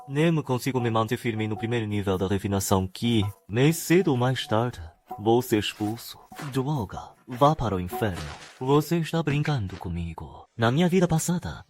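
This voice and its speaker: Young male schemer actor, medium pitch, cocky and dramatic inner monologue, motion-comic dub with prominent music. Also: darkish tone, lightly acted. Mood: frustrated. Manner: dramatic, self-talking, scheming. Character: cocky, scheming young male failed writer turned cultivator. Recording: music clearly behind voice.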